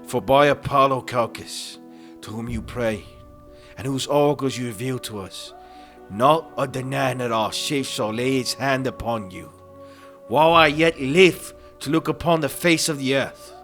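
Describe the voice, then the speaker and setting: A man's voice: mid-range voice, measured pace. A man playing a proud, defiant warrior hero in a dramatized epic audiobook, declaiming with bold indignation over music. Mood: resolute. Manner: declamatory, forceful oratory.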